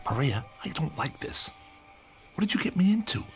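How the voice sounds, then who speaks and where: An actor playing a skeptical, sarcastic writer who is rattled and argumentative, in an audio drama. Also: male voice, fairly high pitch, big pitch swings, moderate pace, faint music behind. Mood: agitated, accusing. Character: skeptical, rattled male author writing about UFOs.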